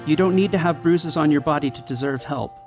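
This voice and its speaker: A man with a slightly high voice delivers a scripted podcast message. He is earnest and supportive, with soft music underneath. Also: fairly quick. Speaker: podcast cast member and announcer.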